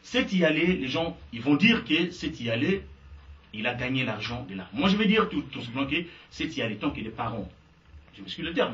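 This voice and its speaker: A Congolese man with a lively, animated voice answers forcefully, slipping between French and Lingala. He sits well back from the mic in a roomy space, so his voice sounds dull and distant. A faint hum of background noise runs under him. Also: medium pitch. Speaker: guest. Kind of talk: interview answers.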